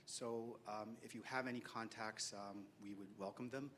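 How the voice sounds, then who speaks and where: A lower-voiced man closes a startup pitch and asks the audience for contacts, halting often between phrases. A faint low rumble sits under his voice. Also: steady tone, a bit bright. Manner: halting, with many fillers. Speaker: startup team member pitching. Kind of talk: closing a presentation.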